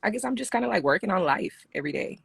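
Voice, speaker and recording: A woman's voice: medium pitch, expressive, racing, vocal fry, slightly rough. A woman in her twenties from South America, calling in and answering hesitantly with many fillers. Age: 20s. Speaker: caller.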